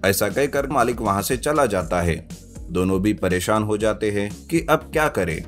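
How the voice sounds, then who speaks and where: A man's voice: fairly low pitch, lively intonation, normal speed. A man reading scripted children's story narration as the narrator. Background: background music.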